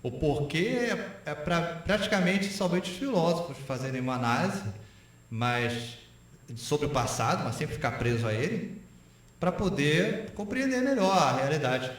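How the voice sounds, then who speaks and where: A man with a Brazilian accent giving reflective interview answers, halting at times and stressing his points. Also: a little high, animated. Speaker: interview guest, philosopher. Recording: very echoey room.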